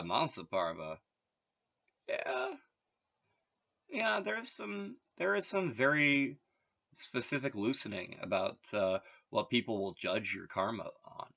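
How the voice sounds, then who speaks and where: A man's voice: medium pitch, vocal fry, bright, forceful tone, lo-fi sound. A man from Massachusetts talking in a rambling, casual monologue, full of filler words and wry asides. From Massachusetts, USA. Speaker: vlogger.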